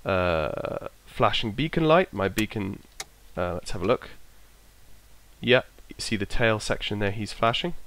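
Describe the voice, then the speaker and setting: A man with a bit of a cold, his voice croaky, casually talking himself through an aircraft checklist and trailing off as he goes. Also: lowish voice, animated, unhurried, a bit bright. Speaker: flight sim streamer. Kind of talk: casual narrated walkthrough.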